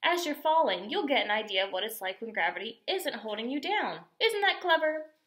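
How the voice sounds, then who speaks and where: A woman's voice: a little high, big pitch swings, fairly quick, fairly bright tone, echoey room. A woman voicing a bossy, brash, enthusiastic young girl coach in a storybook, exclaiming and giving commands. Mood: commanding, eager.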